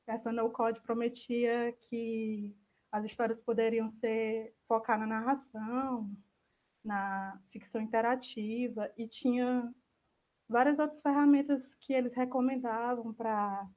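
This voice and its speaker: A woman's voice: on the high side, fairly even intonation, a little slow, darkish tone. A woman with a Brazilian accent giving an informal online talk in a tentative, self-correcting way. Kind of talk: informal presentation. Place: indoors on an online video call.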